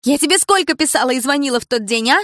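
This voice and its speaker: A woman reading an audiobook, voicing a fierce, hot-tempered teenage schoolgirl who scolds loudly. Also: high voice, lively intonation, fast, no room sound, studio quality, voice pushed up. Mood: furious.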